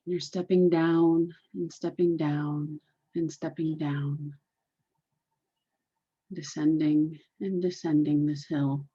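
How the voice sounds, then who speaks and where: A woman leading a guided meditation in a deliberate, soothing, repetitive way, on a dull, muffled recording. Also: low-pitched, flat delivery, dark tone, husky.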